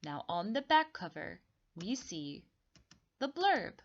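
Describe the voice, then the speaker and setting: A lower-voiced woman guides young children through a read-along story lesson. She explains deliberately and repeats key words, with highly animated pitch movement. The recording is a little dull and lo-fi. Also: vocal fry. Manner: slow, deliberate explaining with repetition. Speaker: teacher reading aloud.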